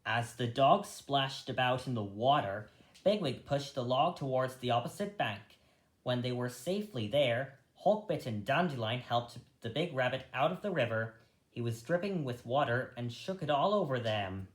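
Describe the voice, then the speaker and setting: A story reader narrating a storybook in an animated, deliberate way. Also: mid-range voice. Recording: roomy echo.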